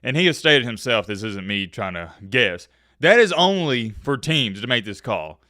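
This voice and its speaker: A man hosting a reaction video, swinging lively through his pitch with a bright, forceful and very clear voice. He is slangy and opinionated, talking casually to viewers. The sound is dry and close. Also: normal speed, no echo.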